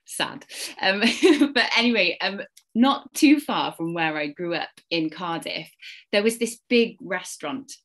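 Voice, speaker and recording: A woman from Wales with a slight Welsh accent, giving an earnest, expressive Easter talk to a church congregation. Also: lowish voice, unhurried. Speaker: church speaker.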